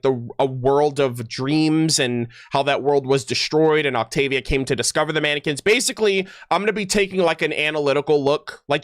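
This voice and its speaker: A man giving casual livestream commentary, rambling and halting as he thinks aloud. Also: fairly high pitch, a bit bright, clear, resonant tone, no echo, studio quality. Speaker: streamer. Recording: music playing behind voice. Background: music.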